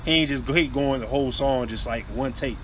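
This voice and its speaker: A man's voice: medium pitch, fairly quick, fairly bright tone, slight background noise. A young man co-hosting a podcast, chatting casually about rap and often checking "you feel me?". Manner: laid-back, asks "you feel me?" often. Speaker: podcast co-host.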